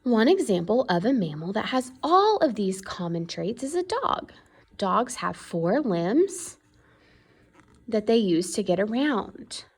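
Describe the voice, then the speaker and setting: A woman's voice: mid-range voice, vocal fry. A woman reading an educational children's book aloud in an explanatory way, stressing key words. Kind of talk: read-aloud educational narration.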